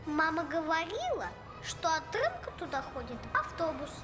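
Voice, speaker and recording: A woman voicing a small, stubborn, cheeky little boy in an animated film, childish and insistent. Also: extremely high pitch, normal speed, music in the background. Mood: eager.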